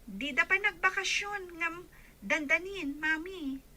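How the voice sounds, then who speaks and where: A woman's voice: extremely high pitch, slow pace, pushed and bright, no room sound, full character voice. A woman playing a dutiful, anxious young daughter in an Ilocano radio drama, earnest and pleading, with an Ilocano Filipino accent. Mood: soothing.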